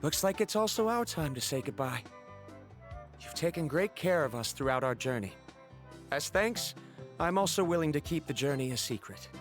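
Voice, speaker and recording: An actor playing a stubborn, earnest scholar in a video game, speaking in a formal, precise way. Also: male voice, a little high, animated, moderate pace, faint music behind, close to an everyday voice. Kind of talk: game voice acting.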